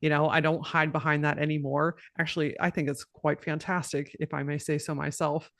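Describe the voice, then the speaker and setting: A woman with a low voice talking bluntly and directly as a podcast guest, cussing freely and laughing often. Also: little pitch movement, a little fast, fairly bright tone, dry recording, studio quality. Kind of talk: casual podcast conversation.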